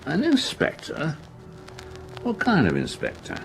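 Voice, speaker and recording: An actor playing a pompous, self-important middle-aged factory owner, blustering and dismissive in a radio play. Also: male voice, a little high, huge pitch swings, measured pace, creaky, soft background music, heavily acted, rough recording. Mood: puzzled, guarded.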